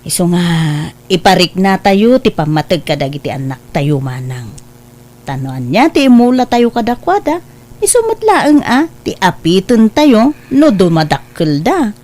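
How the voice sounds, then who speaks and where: A woman playing a warm, counselling younger sister and mother in an audio drama, coaxing and expressive, with an Ilocano accent. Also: medium pitch, a little slow. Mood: earnest, advising.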